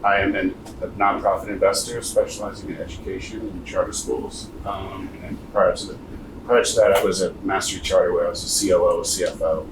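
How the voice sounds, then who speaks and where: A man's voice: low-pitched, flat delivery, brisk. A man from the Bronx introducing himself and his career to a group. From the Bronx, New York. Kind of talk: self-introduction at an event. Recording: distant, echoey, noisy room.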